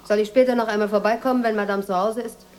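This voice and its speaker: A woman's voice: mid-range voice, fairly even intonation, quick pace, a little mellow, natural voice. An actress playing a proud, blunt, inquisitive Moroccan woman in a radio drama, speaking in short, direct sentences. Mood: probing, cool. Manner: direct, self-possessed, short probing questions.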